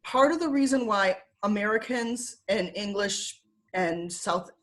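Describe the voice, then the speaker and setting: A young woman with a Michigan accent, talking casually as an interview guest over a video call, with lots of fillers and easy laughter. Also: medium pitch. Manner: chatty with frequent fillers, laughs often.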